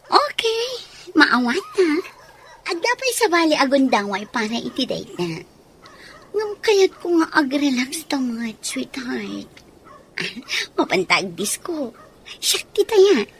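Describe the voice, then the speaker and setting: A woman's voice: high voice, sing-song, takes it slowly, long pauses, slight background noise, full character voice. A woman acting a coquettish, gossipy sweetheart in an Ilocano radio drama. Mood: pleading, flirty.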